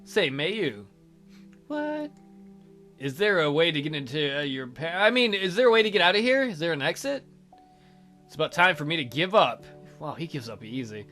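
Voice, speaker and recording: A man's voice: a little high, big pitch swings, moderate pace, pushed and bright, soft background music. A man reading a visual novel aloud theatrically as a let's play host, with casual, jokey asides.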